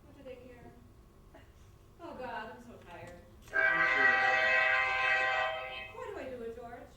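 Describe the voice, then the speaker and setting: Echoey, high-pitched melodramatic actress. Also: female voice, big pitch swings, moderate pace, over music. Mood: weary self-pity. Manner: melodramatic, repeats words for effect. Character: theatrical, imperious, self-pitying actress and movie star. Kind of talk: stage comedy reader's theatre acting. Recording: very echoey stage, distant mic, noisy.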